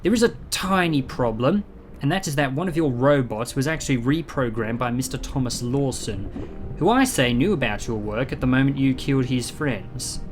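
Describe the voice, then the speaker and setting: A man playing a quick-witted, protective time-travelling adventurer in a fan audio drama, wry, chatty and cheekily defiant. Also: mid-range voice, soft background music, lightly acted. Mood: wry, probing.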